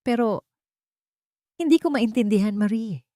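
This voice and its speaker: A woman voicing a frail elderly grandmother who protests gently and hesitantly in an audio drama story. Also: on the high side, animated, measured pace, no echo, clean studio sound, voice pushed up. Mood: confused, wary. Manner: faint, hesitant.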